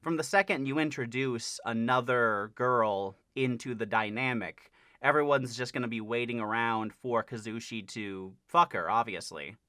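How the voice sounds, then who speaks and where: A man's voice: mid-range voice, moderate pace, pushed and bright, no echo, studio quality. A man talking casually as a channel host, explaining an update in a conversational way with stressed key words. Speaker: host of a parody dub channel giving an update.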